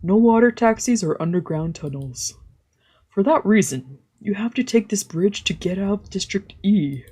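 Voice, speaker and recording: A woman's voice: mid-range voice, lively intonation, normal speed, warm tone, husky. A woman streamer casually reading a visual novel aloud and commenting as she plays. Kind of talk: casual let's play with narration.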